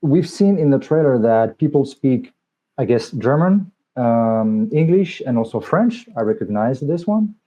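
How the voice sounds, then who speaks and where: A man's voice: mid-range voice, sing-song, measured pace, warm tone. A man interviewing a film director at a festival, asking questions in an easy, encouraging way. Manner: conversational, encouraging. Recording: dull lo-fi sound.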